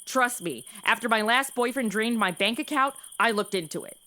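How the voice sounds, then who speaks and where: A woman's voice: fairly high pitch, normal speed, pushed and bright. A woman playing a brash, oversharing modern young woman in a western comedy audio drama, with an American accent and a sassy, slangy, blurting delivery. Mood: sarcastic, oversharing. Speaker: lead actor in a comedy audio drama podcast. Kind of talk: comedic radio-play acting.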